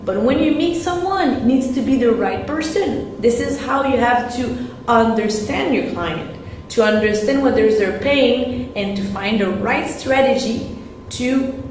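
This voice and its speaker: A woman whose first language is French gives a live-streamed sales talk in English, hesitating and often repeating and restarting her words. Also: medium pitch. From French-speaking. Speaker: sales coach. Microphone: live-stream camera. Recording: very echoey room, faint noise.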